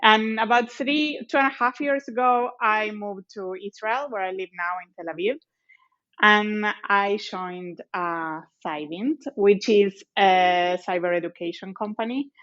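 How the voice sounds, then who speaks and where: A woman's voice: mid-range voice, measured pace, a bit bright. Argentine-accented woman on dull audio. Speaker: guest, company executive. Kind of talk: interview answers.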